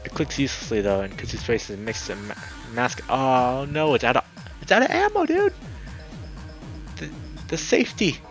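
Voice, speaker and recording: A man streaming a let's play, reading a visual novel aloud in a dramatic, excitable way, sometimes stumbling over words. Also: medium pitch, moderate pace. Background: background music.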